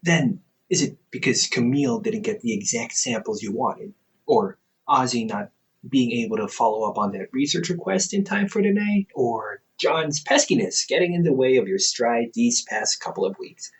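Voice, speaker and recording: A young man playing a caring, gently stubborn older brother around twenty in an intimate audio roleplay, teasing softly with hesitant pauses. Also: medium pitch, animated, close to an everyday voice, poor audio. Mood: probing, concerned. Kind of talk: intimate audio roleplay, one-sided conversation.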